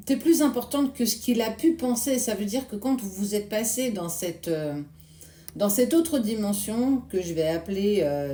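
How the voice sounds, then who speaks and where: A woman, hoarse this morning, giving a casual tarot reading and speaking reassuringly straight to the viewer. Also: medium pitch, warm tone, roomy sound. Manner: addresses viewer directly with soothing reassurance. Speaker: tarot card reader.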